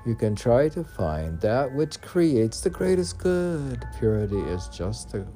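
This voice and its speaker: A man's voice: mid-range voice, sing-song, a little slow, mellow, soft background music. A man reading his own mystical poems at home in a rambling, musing way, chuckling between lines. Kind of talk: meditative poetry recitation mixed with casual home chat. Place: home room with windows.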